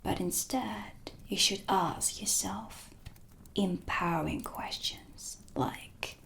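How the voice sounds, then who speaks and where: A woman playing a mysterious, haughty dark witch who reads tarot, speaking in a deliberate, theatrical whisper for a cinematic ASMR roleplay. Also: mid-range voice. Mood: stern, guiding. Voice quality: whispery, creaky. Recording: music under the whispered voice. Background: dark ambient music.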